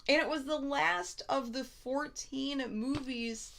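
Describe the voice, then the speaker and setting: A woman's voice: high voice, slow pace, creaky, fairly bright tone. A woman with a stuffy nose co-hosting a casual live stream, chatting and reading out viewer comments. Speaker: co-host.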